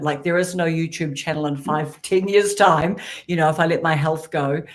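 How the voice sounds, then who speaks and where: A woman talks about her routine on an online creator panel. She is chatty and animated, with plenty of fillers. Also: sits low. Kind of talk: casual online roundtable chat.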